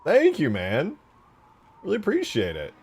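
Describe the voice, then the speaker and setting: A man chatting casually as a livestream host, excitable and informal, with slangy, sweary talk. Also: fairly high pitch, unhurried, husky, soft background music. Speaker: streamer, host. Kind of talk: casual livestream chat and commentary while modding a game.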